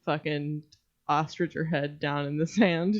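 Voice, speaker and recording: A woman's voice: low-pitched, steady tone, measured pace, muffled recording. A woman co-hosting a podcast in casual banter, wry and teasing, with some swearing. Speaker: podcast co-host.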